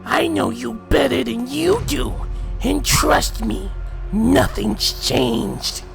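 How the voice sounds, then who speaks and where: Gravelly high-pitched cocky warrior villainess. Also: female voice, lively intonation, takes it slowly, over music, heavily acted. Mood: taunting, boastful. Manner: taunting, brash, foul-mouthed. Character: cocky, taunting female Saiyan warrior villain. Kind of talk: anime fan drama acting.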